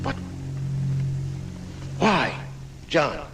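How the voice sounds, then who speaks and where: A man's voice: extremely slow, a bit bright, soft background music. An actor playing an aging famous writer in a live radio drama, telling his story in a florid, dramatic way. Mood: dramatic, vivid. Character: aging famous male writer recounting his own murder, theatrical. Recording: noisy 1980s off-air radio recording.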